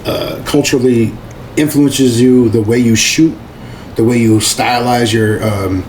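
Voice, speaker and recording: A man's voice: medium pitch, fairly even intonation, unhurried, darkish tone, slight background noise, poor audio. A man hosting a podcast, asking questions in an easygoing way with frequent fillers and restarts. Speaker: podcast host, interviewer. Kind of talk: casual interview.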